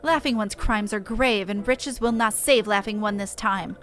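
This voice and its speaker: A woman's voice: mid-range voice, normal speed, soft background music, close to an everyday voice. A woman voicing a stern, formal lawkeeper creature in a game, accusing in stilted third-person speech.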